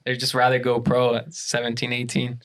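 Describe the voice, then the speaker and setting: A man's voice: medium pitch, completely flat delivery, brisk, a bit bright. A man hosting a podcast interview, laid-back and agreeable, with many fillers and restarts. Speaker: podcast host. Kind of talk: casual interview chat.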